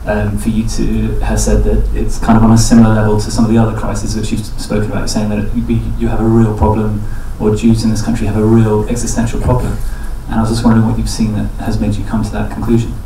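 A man asking a question from the audience in a hesitant, halting way. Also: on the low side, monotone, dark tone. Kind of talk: tentative spoken question. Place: indoor conference room. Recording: very echoey hall, distant, faint noise.

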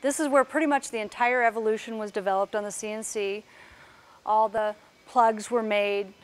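A woman's voice: on the high side, steady tone, moderate pace. A woman guiding a walking tour of an aircraft workshop, explaining parts as she points them out. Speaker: factory tour guide. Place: large aircraft workshop indoors.

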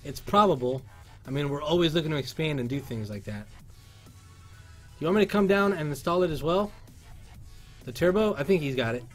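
A man's voice: a little high, animated, a little fast, pauses often, faint music behind. Upbeat Cuban man hosting a livestream. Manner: upbeat, reads chat aloud, sings along. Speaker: livestream host. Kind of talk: casual livestream chat. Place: workshop studio indoors. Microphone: headset.

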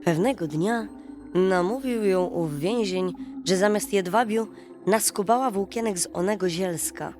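A woman narrating a scripted fairy tale for children at bedtime, in an expressive storytelling manner. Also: mid-range voice, unhurried. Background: music.

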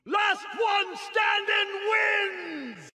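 An actor playing a boastful fantasy brawler champion, bellowing theatrical battle lines for a video game. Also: male voice, extremely high pitch, expressive, extremely slow, bright, forceful tone, shouting, a faint crowd, full character voice. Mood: rowdy, commanding.

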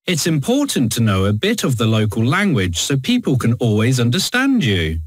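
Young British guy, very animated pitch, dry studio read. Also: moderate pace, no echo. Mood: friendly. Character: young British man posting a comment. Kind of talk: scripted textbook audio.

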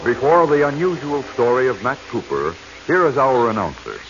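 An actor playing grand, menacing Fate, announcing in 1940s radio drama style. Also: male voice, medium pitch, big pitch swings, normal speed. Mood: grand, ominous. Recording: muffled, noisy old radio recording.

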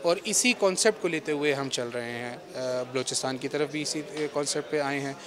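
A man in his thirties with a Pakistani accent speaks off the cuff for a news interview. His voice is slightly high, with a busy hall crowd clearly audible. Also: a little fast. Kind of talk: spontaneous on-camera news interview. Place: indoor expo hall. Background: crowd chatter.

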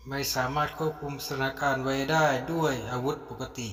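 A man's voice: medium pitch, level tone, a little fast, a bit bright. A man reading scripted narration for a fantasy story. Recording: echoey, long reverb.

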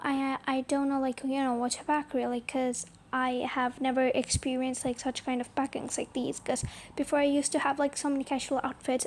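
A woman's voice: sits high, a little mellow, slight background noise. A young woman chatting casually as a channel host, telling a roleplay story with lots of filler words. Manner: chatty, heavy use of like and you know.

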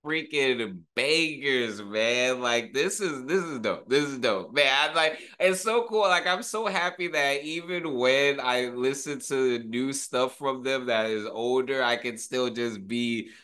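A man's voice: medium pitch, measured pace, hardly any pauses, sharp tone, clear, resonant tone. A man in his thirties giving casual, slangy reaction commentary, enthusiastic and full of awe, as a video host. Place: small home room.

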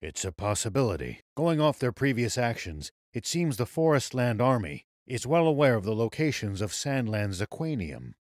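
A man playing a gruff, serious old sheriff and veteran soldier in a video game, speaking in a measured, weary way. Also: mid-range voice, very animated, a little mellow, no echo, clean studio sound, natural voice. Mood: serious, planning. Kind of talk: video game cutscene dubbing.

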